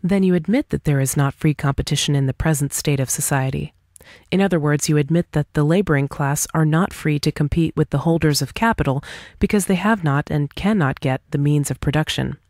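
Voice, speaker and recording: A woman reading the part of a confident, pointed individualist debater in an audiobook dialogue, articulate and deliberate. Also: deep voice, a little mellow, no room sound, studio quality. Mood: pointed, explanatory. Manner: articulate, deliberate, rhetorical questioning.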